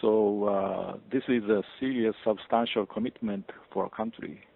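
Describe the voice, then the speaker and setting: Guest professor, man, 50s; husky, gravelly low voice, formal and measured, heard over a tinny phone-like line. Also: steady tone. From Japan. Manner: measured, formal, with frequent pauses and uh fillers. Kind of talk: interview answers.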